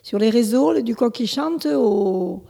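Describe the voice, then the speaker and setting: A woman's voice: fairly high pitch, lively intonation, slow pace, gravelly, warm tone, long reverb tail. A woman in her seventies, born in Italy, answering interview questions as a village mayor, hesitant with many restarts and fillers. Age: 70s. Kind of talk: local interview.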